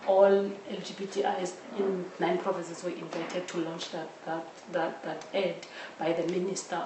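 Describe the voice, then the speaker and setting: A woman with a South African accent answering interview questions in a halting way, often repeating words. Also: lowish voice, level tone. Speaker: interviewee, audio drama creator. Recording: roomy echo, distant mic, faint noise.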